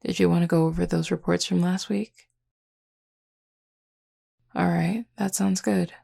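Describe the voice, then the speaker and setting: A woman playing a flirty, confident female werewolf in an intimate audio roleplay, with a husky, gravelly voice and a teasing, drawling, playfully commanding manner. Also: lowish voice, fairly even intonation, measured pace, warm tone, exaggerated voice. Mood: wry, casual banter.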